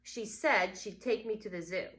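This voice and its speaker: A woman's voice: medium pitch, moderate pace, fairly bright tone, close to an everyday voice. A woman voicing a stubborn, indignant schoolboy in a storybook, complaining insistently. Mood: insistent accusing.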